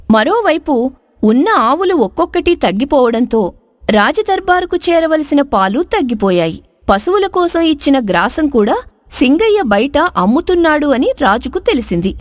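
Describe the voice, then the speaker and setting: A woman storyteller with a high voice and lively, swinging intonation. She narrates a scripted moral tale. The recording is dry and close. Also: no room sound.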